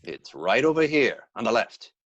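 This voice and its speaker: An actor playing a folksy, uneasy police underling in an old-time radio drama, colloquial and a little hesitant. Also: male voice, mid-range voice, sing-song, normal speed, a bit bright, highs cut off. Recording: noisy, clicky livestream connection.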